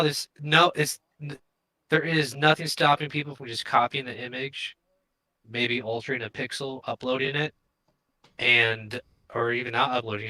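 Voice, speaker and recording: Male stream co-host, conversational explainer. Mid-pitched, strikingly sharp and bright tone. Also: poor audio. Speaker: co-host of a crypto show. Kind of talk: casual explanatory livestream talk.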